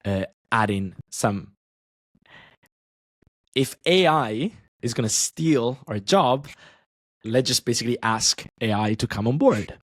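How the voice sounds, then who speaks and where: A man guesting on a podcast as an online language teacher, chatting casually and talking in quick bursts with frequent restarts. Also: medium pitch, lively intonation, no echo.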